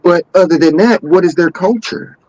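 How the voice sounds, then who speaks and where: An American man in a casual, opinionated panel discussion, making his points deliberately and insistently, repeating words for stress. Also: high-pitched, darkish tone, no echo, muffled recording. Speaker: panelist on a talk show.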